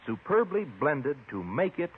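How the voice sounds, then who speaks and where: A narrow-band vintage radio recording of a male commercial announcer. His slightly rough, mid-range voice rises and falls animatedly as he sells with polished emphasis. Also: fairly high pitch, big pitch swings, a little slow. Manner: polished, emphatic salesman delivery. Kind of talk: 1950s radio advertising pitch.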